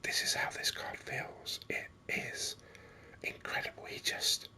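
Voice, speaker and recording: Whispered ASMR gaming host: very bright, sibilant breathy whisper, slow and rambling but keen. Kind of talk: whispered ASMR game commentary.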